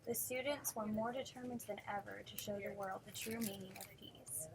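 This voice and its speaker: A woman reading a children's picture book aloud in a measured storytelling delivery, on an amateur recording. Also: mid-range voice, steady tone. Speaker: read-aloud narrator. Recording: noisy amateur recording with clicks.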